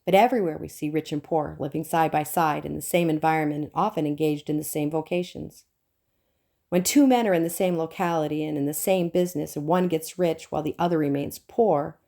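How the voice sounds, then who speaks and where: A woman reading a self-help audiobook aloud in a deliberate, emphatic narrating manner. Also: deep voice, warm tone. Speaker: audiobook reader.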